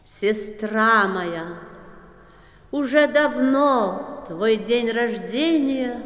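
An actress playing a regal, solemn cobra queen of the jungle in a 1960s audio play, with stately drawn-out phrasing. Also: fairly high pitch. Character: regal old female cobra, ruler of the jungle, gracious and solemn. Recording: echoey reverberant 1960s studio recording.

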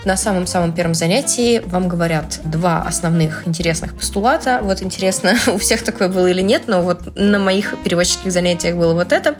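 A young woman from Russia, a translator, explaining things in a casual, playful vlog with slangy asides and animated emphasis on key words. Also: lowish voice, brisk, few pauses. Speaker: translator and Italian language blogger. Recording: music playing loudly under the voice. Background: background music.

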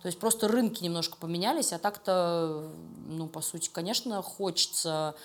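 Expressive woman chatting in an interview. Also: on the low side, normal speed. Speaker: creative director of an animation studio, interview guest. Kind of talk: casual interview talk.

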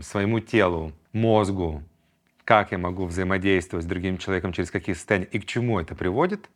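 A man in his thirties hosting a solo podcast, talking reflectively and acting out his inner voices in quoted asides. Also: low voice. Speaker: podcast host.

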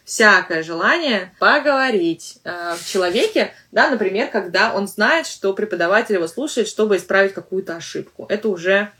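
A woman's voice: mid-range voice, lively intonation, moderate pace, hardly any pauses, pushed and bright. A woman language teacher hosting a podcast, talking in a chatty, loose way with many filler words and restarts. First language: Russian. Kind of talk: casual podcast monologue.

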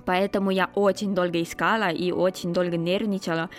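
Woman in her twenties, Romanian accent, non-native, lowish pitch, hesitant interview answers, faint music behind. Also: flat delivery, fairly quick. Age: 20s. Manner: hesitant, searching for words in non-native speech. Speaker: interview guest, foreign student.

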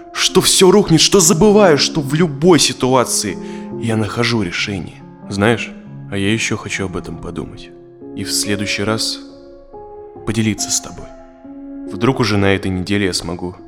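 A man's voice: lowish voice, lightly acted. An actor playing an anxious, self-doubting businessman in an audio drama, speaking reflectively and dramatically as a therapy client. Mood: doubtful, hopeful. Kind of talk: dramatized audio play acting. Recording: music behind the voice.

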